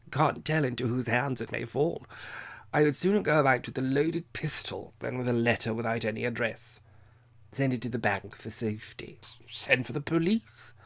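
Audiobook drunk: raised pitch, solemn, indignant, insistent, slightly stumbling. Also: male voice, measured pace, vocal fry, fairly bright tone. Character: drunken middle-aged man, solemn, indignant, pompous, comic. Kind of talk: audiobook character voice.

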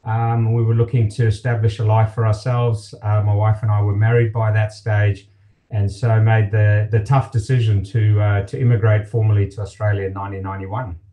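A man in his fifties from Zimbabwe, a company director telling his story as a guest on a video call, in a relaxed way with many fillers. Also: on the low side, normal speed. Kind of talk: casual interview. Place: home office, indoors.

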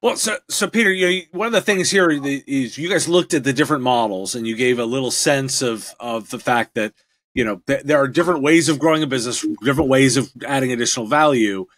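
A man's voice: mid-range voice, brisk, a bit bright, no room sound. A middle-aged man hosting a tech business show, asking questions conversationally from a home studio. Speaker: podcast host, interviewer.

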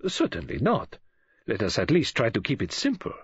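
Man acting a politely commanding older detective, precise, formal, scornful, husky, dull audiobook recording. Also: medium pitch, huge pitch swings, voice pushed up, full character voice. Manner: formal, measured wording.

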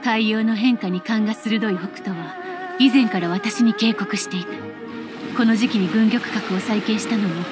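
A woman playing a poised, calculating woman leader in an anime game, speaking in a composed, elegant, deliberate way. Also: medium pitch, over music, natural voice. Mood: grave, resolute. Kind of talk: Japanese anime game voice acting.

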